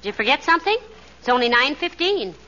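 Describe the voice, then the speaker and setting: An American actress playing a patient, affectionate middle-aged housewife in a 1940s radio comedy, gentle and knowing in manner. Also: female voice, sits high, very animated, fast, exaggerated voice. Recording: noisy, muffled old radio recording with clicks.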